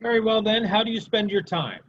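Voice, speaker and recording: A man acts out a stern Soviet prosecutor in a dramatic reading. His voice sits high for a man, with a formal, clipped, sardonic manner of questioning. The sound is dull and lo-fi. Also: a little fast, fairly bright tone. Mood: sternly sardonic. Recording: dull, muffled lo-fi recording.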